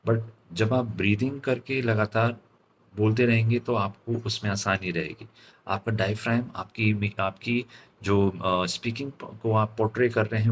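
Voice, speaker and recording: A man with an Indian accent giving an instructional lecture as a speaking coach, in deliberate, halting phrases with frequent check-in questions. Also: on the low side, slight background noise.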